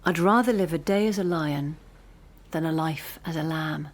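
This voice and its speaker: An actress playing a driven, privileged young postgraduate who argues insistently and articulately in a radio drama. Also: female voice, sits low, moderate pace. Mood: resolute. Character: earnest, driven, privileged female postgraduate student.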